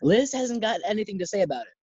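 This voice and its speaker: A man playing a sensible friend with a husky voice and dry, sarcastic delivery in an old-time radio sitcom style. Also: sits high, animated, quick pace, darkish tone, no room sound. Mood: dry, teasing. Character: dry, sensible male friend needling an overexcited pal. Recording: slightly dull, muffled sound.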